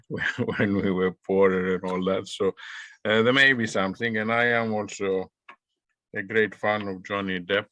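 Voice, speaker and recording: Guy hosting a book club chat, low but bright voice, dry close sound, lots of uhs. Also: steady tone, unhurried, no echo. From Greece. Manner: hesitant, many fillers and restarts. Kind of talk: interview questions.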